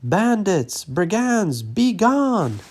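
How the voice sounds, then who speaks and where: Man putting on high, indignant storybook townsfolk. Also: big pitch swings, drawn-out words, heavily acted. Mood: outraged. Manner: exclaiming, indignant. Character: outraged townsfolk chasing off thieves.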